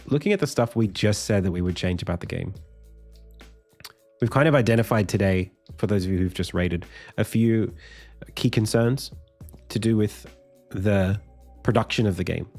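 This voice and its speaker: A man streaming his game development, thinking aloud in a casual way with lots of fillers and restarts. His voice is deep and croaky, and he sounds tired. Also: a little mellow. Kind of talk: casual live stream talk.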